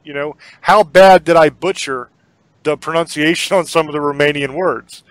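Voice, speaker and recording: Male podcast host, casual and earnest, slightly high voice, dry close sound. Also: normal speed, no room sound, poor audio. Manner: earnest, rambling, repeats words. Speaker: podcast host and horror author. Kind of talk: casual sign-off talk.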